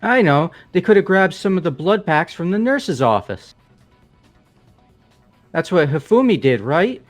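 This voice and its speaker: A man's voice: high voice, very animated, a little slow. A man voicing a casual, plain-spoken young male student in fan-made visual novel game dialogue. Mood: eager. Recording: music behind the voice.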